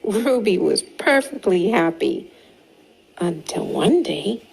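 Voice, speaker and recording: A woman reading a picture book aloud to young children in an expressive, deliberate way with dramatic pauses. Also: medium pitch, rough recording. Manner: slow, deliberate, animated storytelling with dramatic pauses. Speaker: storytime reader for young children. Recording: clearly audible background noise.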